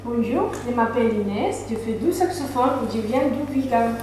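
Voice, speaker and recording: Woman in a music workshop, bit high, far from the mic, echoey and noisy. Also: lively intonation, normal speed. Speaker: workshop participant, saxophonist. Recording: echoey room, distant mic, background noise.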